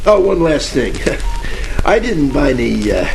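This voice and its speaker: An actor playing a cocky, wisecracking police detective in a parody of 1940s radio mysteries, with wry asides and old-time slang. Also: male voice, a little high, big pitch swings, slow pace, vocal fry, darkish tone, reverberant, heavily acted. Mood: wry, sheepish. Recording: music behind, crackly old-radio style.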